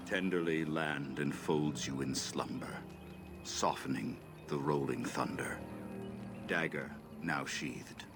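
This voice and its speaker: Very deep, slow old elven storyteller. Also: male voice, vocal fry, full character voice. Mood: solemn, elegiac. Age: older. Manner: solemn, poetic, measured. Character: grave, mournful old male elven clan storyteller. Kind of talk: fantasy game acting.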